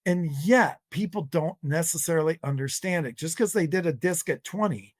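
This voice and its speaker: A man's voice: fairly high pitch, normal speed, no room sound, studio quality. A man hosting a conversational interview, rewording his questions as he goes. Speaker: podcast host and interviewer.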